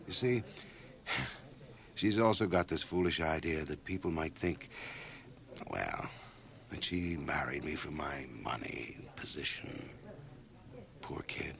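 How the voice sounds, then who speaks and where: A man's voice: sits low, gravelly, exaggerated voice. An actor playing a self-satisfied, ambitious, wealthy middle-aged man in a radio drama, hearty, pompous and confiding. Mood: persuasive. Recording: 1980 radio drama on cassette.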